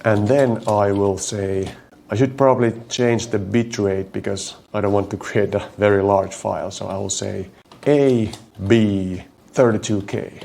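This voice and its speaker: A man's voice: on the low side, fairly even intonation, creaky, warm tone, a little hiss or hum. Finnish-accented man thinking aloud over code. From Finland. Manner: thinks aloud while typing, slows to spell out code. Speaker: coding tutorial host. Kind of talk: live coding walkthrough.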